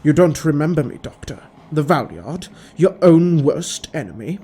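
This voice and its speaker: A man's voice: on the high side, lively intonation, normal speed, mellow, slightly rough. A man voicing a gaunt, commanding villain in an audio drama, theatrical and accusing with a wounded menace. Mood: hurt, accusing.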